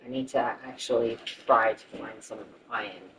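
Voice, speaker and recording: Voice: medium pitch, normal speed, far from the mic, noisy background. A game streamer chatting casually and thinking aloud in a rambling, sweary way.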